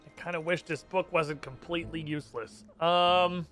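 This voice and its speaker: A man's voice: a little high, steady tone, moderate pace, a bit bright, soft background music. A man streaming a game, chatting casually, muttering to himself and swearing freely.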